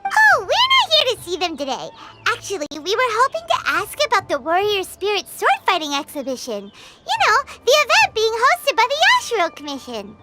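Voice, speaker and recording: Super high squeaky little fairy girl for a game, chirpy n excitable, all over the place, loud music. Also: normal speed, exaggerated voice. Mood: curious chatter. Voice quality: squeaky, childlike. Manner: exclamatory, speaks of self in third person. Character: tiny chirpy childlike fairy companion, excitable and indignant. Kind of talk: animated video game voice acting.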